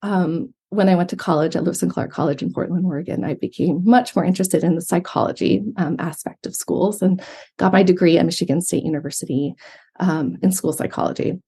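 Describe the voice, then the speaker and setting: A woman's voice: on the low side, brisk, slightly rough. A woman with an American accent, a professor giving thoughtful interview answers with frequent ums. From Yemen, raised abroad. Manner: thoughtful, steady, filler-heavy. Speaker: guest, psychology professor. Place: remote from Montana.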